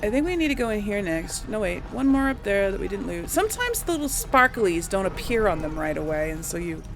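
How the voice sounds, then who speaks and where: A woman's voice: medium pitch, big pitch swings, fairly quick, soft background music. A woman giving casual gameplay commentary, thinking aloud to viewers and often trailing off. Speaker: let's play host.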